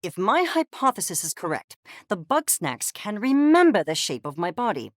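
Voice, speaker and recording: A woman's voice: medium pitch, sing-song, moderate pace, dry recording, studio quality, lightly acted. A woman voicing a haughty, eccentric furry creature scientist in a video game, speaking in a verbose, condescending and theatrical way with precise articulation. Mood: intense, fascinated. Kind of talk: video game character acting.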